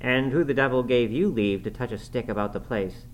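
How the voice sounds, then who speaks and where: A man's voice: medium pitch, a little fast, lightly acted. A man voicing a cruel, hot-tempered middle-aged master in an audiobook, commanding and threatening. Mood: suspicious, irritated. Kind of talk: audiobook character acting. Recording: clearly noisy recording.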